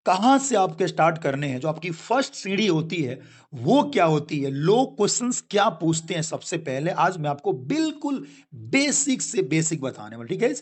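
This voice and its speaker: A young Indian man teaching spoken English. He explains patiently, keeps checking in with "okay guys", and stretches out each example sentence slowly. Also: on the high side, big pitch swings.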